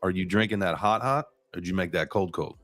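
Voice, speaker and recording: A man's voice: sits low, brisk, a bit bright, dry recording. A man hosting a podcast, chatting casually and rambling with lots of fillers and slang over a remote stream. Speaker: podcast host. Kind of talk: casual chat.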